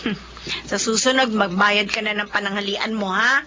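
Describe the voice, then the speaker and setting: A woman's voice: medium pitch, steady tone, normal speed, sharp tone, natural voice. A woman acting in a radio drama as a fussy, scolding middle-aged Filipino mother who worries about her family. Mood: nagging, teasing. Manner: nagging, exclamatory. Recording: dull lo-fi audio with background noise.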